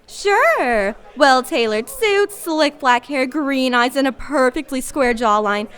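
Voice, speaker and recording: Woman acting a high, chirpy pushy saleswoman. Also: expressive, slow pace, a bit bright, clear, resonant tone, full character voice. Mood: dreamy, gossipy. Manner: breezy sales patter. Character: pushy, chirpy, gossipy perfume counter saleswoman. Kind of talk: noir radio play acting.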